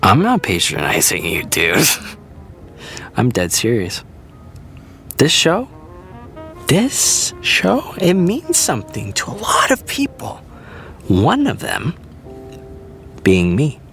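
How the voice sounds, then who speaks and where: A man's voice: medium pitch, huge pitch swings, takes it slowly, pauses often, fairly bright tone, soft background music, exaggerated voice. A man voicing an earnest, goofy young actor in an audio drama, in a playful, sing-song way. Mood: sincere.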